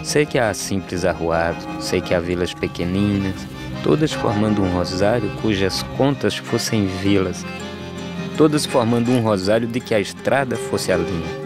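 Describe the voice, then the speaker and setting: A man playing a solemn, weary drought migrant, reciting measured verse in an animated film. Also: on the low side, lightly acted. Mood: solemn, resolved. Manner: measured, solemn, rhythmic delivery with falling refrains. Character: solemn male drought migrant, questioning, weary, plain-spoken. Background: music.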